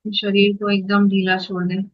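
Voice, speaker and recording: A meditation guide giving instructions in short phrases with unhurried pauses between them. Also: medium pitch, completely flat delivery, no echo, muffled recording.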